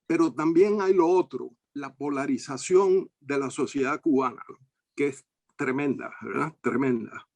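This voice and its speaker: A man's voice: mid-range voice, unhurried, creaky, darkish tone, no echo. An older man from Cuba, a guest on a discussion panel. He tells anecdotes with a witty turn and plays with words. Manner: witty, anecdotal, fond of wordplay.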